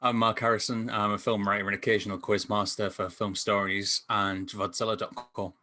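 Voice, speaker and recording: A man chats informally on a film podcast panel. His voice is fairly low yet bright and forceful, with a clear edge to it. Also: flat delivery, a little fast. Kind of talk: casual chat.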